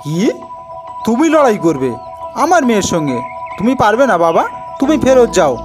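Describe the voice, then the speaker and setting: A woman's voice: fairly high pitch, big pitch swings, moderate pace, music in the background, pitched above the performer's own voice. A woman voicing a protective, doubtful king in a cartoon folk tale, emphatic and fatherly. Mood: incredulous. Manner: emphatic, dismissive, paternal.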